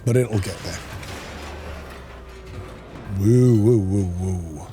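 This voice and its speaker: A man's voice: on the low side, very slow, mellow. A man giving casual commentary as he plays a video game, half talking to himself. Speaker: gameplay streamer. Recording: clearly noisy background.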